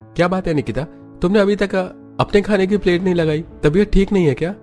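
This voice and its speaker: Man voicing an emphatic young husband, pitched up. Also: fast talker, a little mellow, faint music behind, lightly acted. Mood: concerned. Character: young husband, concerned and mildly scolding, in a cartoon.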